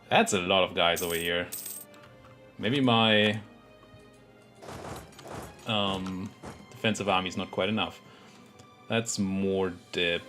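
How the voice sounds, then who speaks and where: A man with a slightly hoarse voice from a cold talks through his strategy game in a low voice that swings up and down a lot. He mutters his thoughts aloud with fillers and restarts, and soft game music plays faintly behind him. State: has a cold, voice a bit hoarse. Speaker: let's play gaming commentator. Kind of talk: casual live gameplay commentary.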